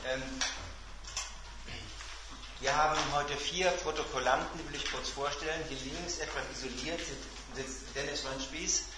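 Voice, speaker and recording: A man's voice comes through with clear room echo and noticeable background noise. He speaks as a seminar host making a formal introduction. His delivery halts, then lands with emphasis. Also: fairly high pitch, steady tone, measured pace. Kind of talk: semi-formal spoken introduction. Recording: roomy, noisy live seminar recording.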